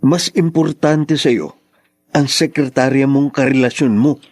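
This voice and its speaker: An actor playing a betrayed, bitter young husband in a radio drama, emphatic and melodramatic. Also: male voice, mid-range voice, measured pace, a little mellow, lightly acted. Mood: cold, resentful. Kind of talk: Tagalog radio drama acting.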